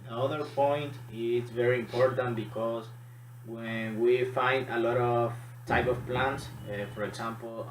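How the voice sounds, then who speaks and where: A man from Honduras with a Honduran Spanish accent speaks as a student presenter in halting, non-native English. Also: medium pitch. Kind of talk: classroom presentation. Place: echoey room. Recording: echoey room, distant mic, background noise.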